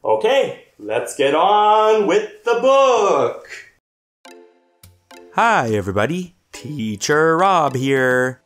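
A middle-aged man hosts a children's read-aloud with an upbeat, very lively delivery. His pitch moves around a lot. Music plays behind him. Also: very slow, reverberant. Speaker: teacher reading books aloud for children.

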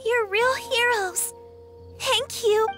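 A woman voicing a small, cheerful child who speaks in a lively, grateful way, in a game. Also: very high-pitched, takes it slowly, music in the background.

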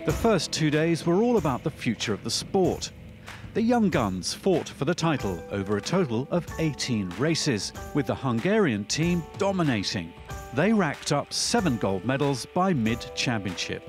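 Lively male sports documentary narrator. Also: mid-range voice, moderate pace. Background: background music.

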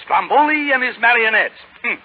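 Pompous, high-pitched sly fox con man. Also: expressive, brisk, slightly rough, exaggerated voice. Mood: scheming. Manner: pompous, florid, wheedling, chuckling. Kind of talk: 1930s radio acting. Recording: muffled 1930s radio broadcast recording. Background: music.

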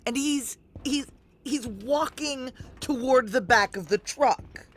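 A woman's voice: high voice, slow pace, a little hiss or hum. An actress playing a tense, hard-boiled woman narrating a noir audio drama in terse present-tense steps. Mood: shaken. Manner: terse, step-by-step.

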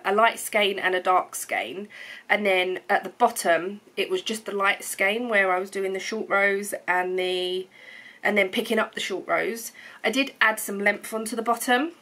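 A woman, chatting to camera in her living room, a little hoarse from a cold, rambling with many ums and restarts. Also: medium pitch, steady tone, unhurried, bright, forceful tone. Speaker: knitting vlogger. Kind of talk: casual chat to camera.

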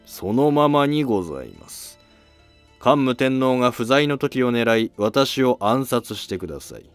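A man playing a calm, scheming, self-sacrificing court noble in formal archaic speech for a history drama. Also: mid-range voice, measured pace, smooth, dry recording, soft background music, close to an everyday voice. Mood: grave resolve.

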